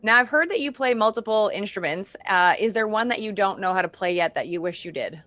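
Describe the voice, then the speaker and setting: A woman's voice: medium pitch, animated, fairly quick, fairly bright tone. A woman hosting a casual entertainment interview. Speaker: show host, interviewer.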